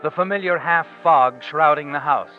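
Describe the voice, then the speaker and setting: An actor playing a sinister, all-knowing narrator of crime tales in a 1940s radio suspense show, speaking in an ominous, deliberate, dramatic way. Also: male voice, on the high side, lightly acted. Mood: brooding, measured. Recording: dull vintage radio recording with music.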